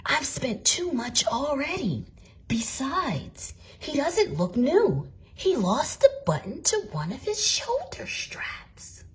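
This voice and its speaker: Woman playing tired mother, storybook, gentle, sighing, weary, slow. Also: sits high, sing-song, full character voice. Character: tired mother, gently refusing her child.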